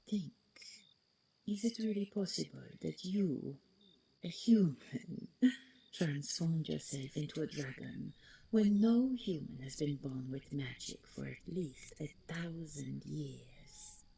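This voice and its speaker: A woman playing the vain, taunting ghost of a deposed dragon queen in an audio roleplay, speaking theatrically and mockingly with a breathy voice that slips into whispers. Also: mellow, exaggerated voice, poor audio. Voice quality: low, breathy, husky, creaky. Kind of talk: dramatic audio roleplay monologue.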